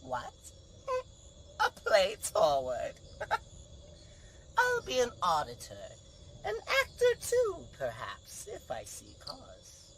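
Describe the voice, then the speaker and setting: A woman playing a mocking, mischievous fairy sprite in a Shakespearean radio play, with a theatrical delivery and a creaky voice. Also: lowish voice, wildly swooping pitch, slow pace, long pauses, full character voice. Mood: gleeful mischief. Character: mischievous fairy sprite eavesdropping on bumbling mortals. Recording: noisy home-recorded radio play.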